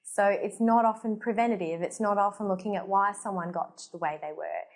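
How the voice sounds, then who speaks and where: A woman's voice: medium pitch, steady tone, a little fast. A woman in her thirties with an Australian accent, a doctor hosting her own show, talking earnestly to camera about how she came to her work, with frequent fillers. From Portugal. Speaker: holistic doctor, show host. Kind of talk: personal story to camera. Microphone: facing the camera.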